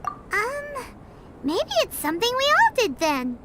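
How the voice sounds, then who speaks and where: A woman voicing a tiny, chatty, cheeky girl fairy companion in a video game, squeaky and childlike. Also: slow, very noisy, exaggerated voice. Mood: puzzled. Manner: exclamatory, cutesy, animated. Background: music.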